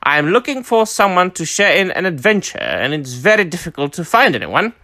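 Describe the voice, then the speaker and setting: A wry, pedantic old wizard, spoken a little higher than plain talk, as a man reads him aloud. He is measured and faintly teasing. The sound is dry and close. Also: sing-song, pushed and bright, no room sound, exaggerated voice.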